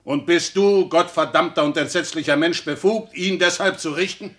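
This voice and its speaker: An actor in a German radio play, playing a stern religious reformer who admonishes in weighty, preacherly speech. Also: male voice, fairly high pitch, normal speed, fairly bright tone, lightly acted. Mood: indignant. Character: stern, reproving Protestant reformer and theologian.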